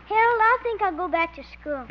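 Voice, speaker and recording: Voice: very high-pitched, animated, brisk. A performer playing a disappointed little boy, terse and sulky, in 1950s radio comedy style. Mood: glum. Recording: dull, hissy old-time radio recording.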